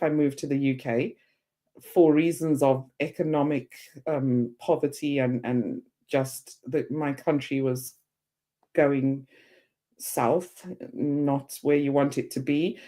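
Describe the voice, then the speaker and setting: A woman in her fifties from Zimbabwe, a guest on a podcast interview, telling her story with lively quoted voices. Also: deep voice, takes it slowly. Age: 50s.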